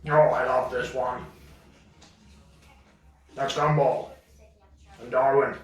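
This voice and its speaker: A man's voice: medium pitch, level tone, takes it slowly, pitched below the performer's own voice, close to an everyday voice. A man voicing a bossy, blustering, greedy dragon father who scolds his son in a homemade children's puppet show. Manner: scolding, exclamatory, swooping. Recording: very echoey room, distant mic.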